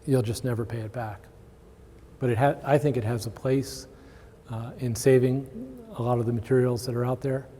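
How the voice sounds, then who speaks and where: A man's voice: medium pitch, steady tone, moderate pace, dark tone, a little hiss or hum. A man presenting at a conference, talking informally and answering questions from the audience. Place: large indoor conference room with long reverb. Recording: reverberant hall.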